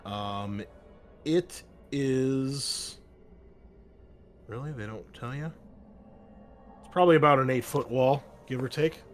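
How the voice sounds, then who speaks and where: A man's voice: medium pitch, slow, long pauses, soft background music. A man running an online tabletop game as game master, rambling with many fillers and self-corrections.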